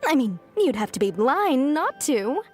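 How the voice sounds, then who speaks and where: High-pitched, coy female swindler. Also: very animated, unhurried, a little mellow, soft background music. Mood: coy, protesting. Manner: coy, dramatically self-pitying. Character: sly, flirty convicted swindler woman, wheedling and theatrical. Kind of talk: video game voice acting.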